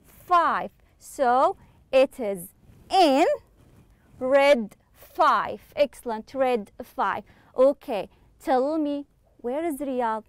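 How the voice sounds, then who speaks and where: A woman teaching English to children online, slowly repeating words and praising her pupils. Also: high voice, expressive, clean tone, no room sound. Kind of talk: online classroom lesson.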